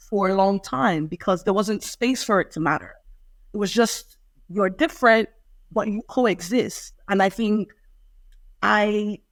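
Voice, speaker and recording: A woman's voice: medium pitch, no echo. A young adult woman, answering interview questions in a reflective, thoughtful way. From Ireland. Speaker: poet and interview guest.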